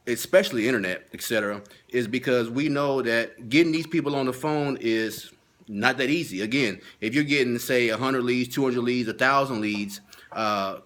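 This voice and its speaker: A man with a medium-pitched voice gives an informal training talk in the role of a sales consultant. He speaks emphatically and comes back to his key points, repeating them to drive them home. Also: normal speed, a bit bright.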